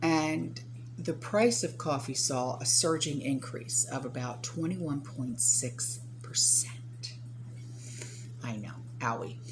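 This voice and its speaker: A woman's voice: extremely low pitch, takes it slowly. A woman hosting a prepping channel, chatting casually to viewers and often checking in with "okay?" and "right?". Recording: steady hum behind the voice.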